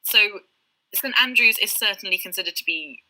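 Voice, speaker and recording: A woman's voice: medium pitch, animated, normal speed, bright, forceful tone, poor audio. A British woman tutor explaining things in an online live session, with frequent ums and light laughs. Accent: British English. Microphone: video call.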